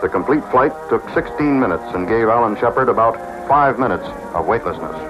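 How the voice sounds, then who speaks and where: American newsreel-style narrator over music. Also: male voice, lowish voice, expressive, a little fast. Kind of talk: scripted vintage documentary narration. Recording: dull vintage film soundtrack with music.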